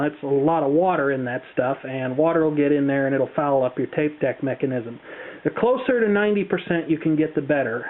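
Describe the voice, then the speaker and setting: An American man presenting a casual how-to demonstration, explaining things in a chatty, careful way. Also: a little high. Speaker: tutorial presenter. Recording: thin, phone-like band-limited sound.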